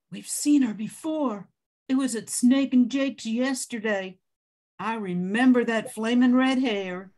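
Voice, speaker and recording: A woman's voice: fairly high pitch, lively intonation, slow pace, a little mellow. An older woman playing an anxious, desperate widow in an amateur radio drama.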